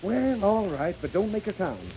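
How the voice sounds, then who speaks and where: Brief: an exasperated father, lively scolding delivery, dull old broadcast sound. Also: sits high, normal speed, slight background noise. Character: harried, impatient father at his desk. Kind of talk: 1930s radio comedy acting. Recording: muffled 1930s radio recording.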